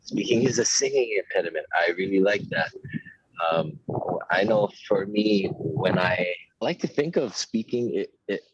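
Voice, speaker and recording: A man chiming in during an online conversation circle, halting and friendly, with many restarts. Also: sits low, animated, husky, dry recording. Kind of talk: casual sharing. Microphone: video call. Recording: dull lo-fi video call audio.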